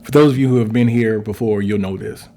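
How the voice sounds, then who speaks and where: A man's voice: lowish voice, fast, warm tone. A man in his forties, a relationship coach co-hosting, who talks casually, thinks aloud and fills his speech with "um" and "you know". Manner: thinks aloud with many fillers, polite. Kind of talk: casual conversational chat.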